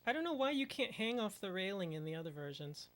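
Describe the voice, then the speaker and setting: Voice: mid-range voice, big pitch swings, fast, a bit bright. A speedrunner giving casual commentary over a run, muttering at the game and using mild minced oaths.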